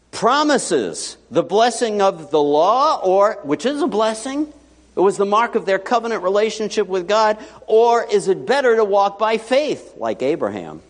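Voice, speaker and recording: A male pastor preaching a sermon in a church, animated and joking, with sudden loud and hushed asides. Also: on the high side. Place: church sanctuary. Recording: long hall reverb.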